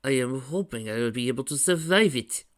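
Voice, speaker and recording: A man's voice: medium pitch, animated, fairly quick, pitched above the performer's own voice, close to an everyday voice. A man voicing a fretful, formal French-accented bridegroom who stammers, in an amateur story reading. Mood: nervous, worried.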